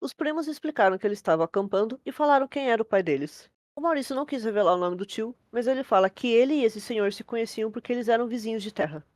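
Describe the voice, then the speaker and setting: A woman's voice: a little high, fast talker, few pauses, darkish tone, no room sound, studio quality. A young Brazilian woman telling a scary story as host, in casual scripted narration. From Brazil, from a capital city.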